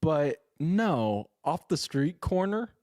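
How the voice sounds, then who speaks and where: Animated man joking in a studio. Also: a little high, slow, dry recording. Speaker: radio co-host. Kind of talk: casual chat.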